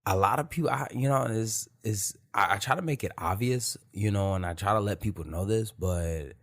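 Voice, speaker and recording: Deep-voiced man, loose podcast talk. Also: moderate pace, a bit bright. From Detroit, USA. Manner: loose, rambling, peppered with "you feel me" and profanity. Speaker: podcast host. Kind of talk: unscripted casual monologue.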